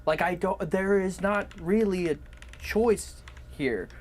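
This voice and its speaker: A woman's voice: mid-range voice, slow, a little mellow. A woman chatting casually on a podcast in a blunt way, repeating words for emphasis. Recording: noticeable background noise.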